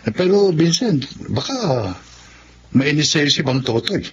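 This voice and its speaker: A man's voice: fairly high pitch, animated, unhurried. A man playing a cautious, easygoing friend with a Filipino accent in a muffled radio drama. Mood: easygoing, wary. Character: cautious, easygoing young male drinking buddy.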